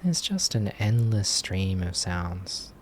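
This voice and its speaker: A man leading a guided meditation as a teacher, speaking gently in short phrases with long pauses. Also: deep voice, sing-song, slight background noise. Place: live online group session.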